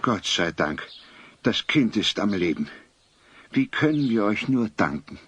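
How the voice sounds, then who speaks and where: Dignified elderly male townsman, low husky voice, bright edge, courteous and old-fashioned, for radio play. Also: big pitch swings, measured pace, dull audio, slight background noise. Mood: grave, grateful. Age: older. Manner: formal, solemn, old-fashioned phrasing. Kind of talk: German audio drama acting.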